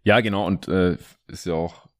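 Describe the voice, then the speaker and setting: Deep-voiced male podcast host, colloquial. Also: steady tone, moderate pace. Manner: colloquial, peppered with English sports jargon. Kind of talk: casual basketball podcast talk, reading listener questions.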